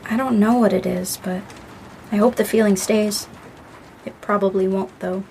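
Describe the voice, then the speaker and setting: A woman's voice: medium pitch, steady tone, normal speed, creaky, a little mellow, slight background noise, natural voice, low-quality recording. An actress playing a troubled, grieving daughter in an audio drama, a young woman with a guarded, moody way of talking. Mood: wistful, subdued. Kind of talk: naturalistic audio drama acting.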